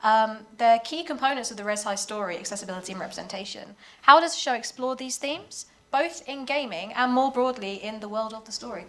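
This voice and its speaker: Fast-talking woman moderating a panel. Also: on the high side.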